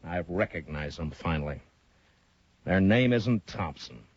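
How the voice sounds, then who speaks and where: A stern frontier marshal from an old radio western, calm and measured. His voice sits very deep and a little husky, and it is recorded dry and lo-fi, like a 1950s broadcast. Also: no room sound, exaggerated voice. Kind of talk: 1950s radio drama acting.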